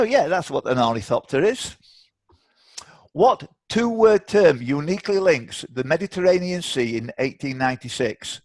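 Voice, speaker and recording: A man's voice: a little high, vocal fry, dry recording. A British man hosting a casual live online quiz, chatting and bantering between questions. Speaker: quiz host. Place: indoors, on a video call.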